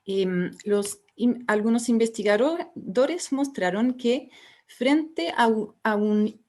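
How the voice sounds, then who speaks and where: A woman gives an academic lecture in a fairly high voice. She explains step by step, pausing on fillers and restarting phrases as she goes. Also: warm tone. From France. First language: French. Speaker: researcher giving a symposium talk.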